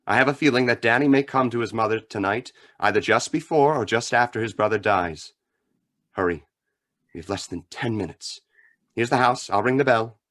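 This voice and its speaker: A man playing a grave, philosophical crime fighter with a measured, earnest manner in a radio drama. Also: medium pitch, a bit bright, close to an everyday voice. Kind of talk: old-time radio drama acting.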